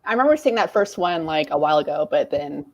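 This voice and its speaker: A woman book vlogger chatting casually and slangily in an animated way on a livestream video call. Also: sits low, quick pace, a crowd in the background. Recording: dull, close, dry call audio.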